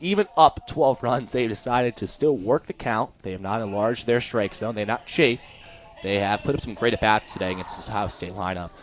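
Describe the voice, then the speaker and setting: A man's voice: lowish voice, a little fast. A young American man giving live color commentary on a baseball radio broadcast.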